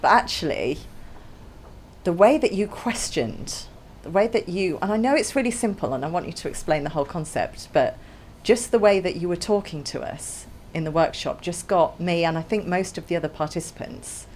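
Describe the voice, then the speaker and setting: A woman's voice: lowish voice, expressive, normal speed, a little mellow, a little hiss or hum. A woman hosting a podcast, chatting casually and laughing often. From England. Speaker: podcast host. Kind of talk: casual chat.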